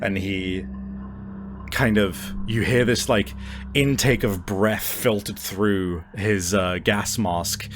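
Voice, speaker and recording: A man running a tabletop game as game master, narrating casually in an informal, halting way with the odd swear word. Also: lowish voice, fairly even intonation, music in the background.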